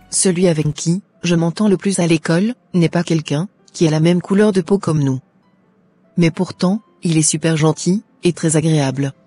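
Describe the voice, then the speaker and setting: Soft, husky devout daughter played by a woman. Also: sits low. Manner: earnest, preachy. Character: devout, wise, gentle schoolgirl daughter.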